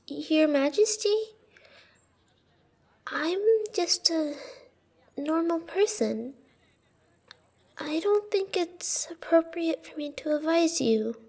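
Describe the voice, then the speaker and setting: A very high-voiced young royal servant girl, played by a woman in a fantasy audio drama, speaking slowly and carefully. She is loyal and earnest, deferential and a little hesitant. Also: lively intonation, creaky, darkish tone, exaggerated voice. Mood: humble, hesitant. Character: young, loyal, cautious girl serving the queen. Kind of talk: fantasy audio roleplay acting.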